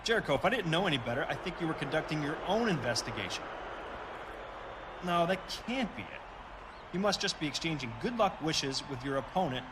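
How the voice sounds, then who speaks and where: A man's voice: on the high side, sing-song, brisk, noisy background. A man playing a condescending, irritable wrestling boss in a video game cutscene. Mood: sardonic.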